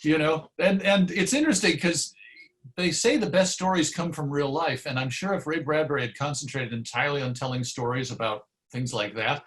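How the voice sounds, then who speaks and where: A man's voice: a little high, a little fast, fairly bright tone. A man speaking as an interview guest, telling discursive, articulate anecdotes in a conversational way about his field of history.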